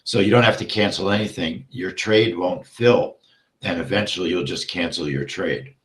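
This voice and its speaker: A man teaching a live trading session, explaining the setup step by step and checking in with "okay?", his voice going hoarse as he clears his throat. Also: deep voice, normal speed, reverberant. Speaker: trading instructor.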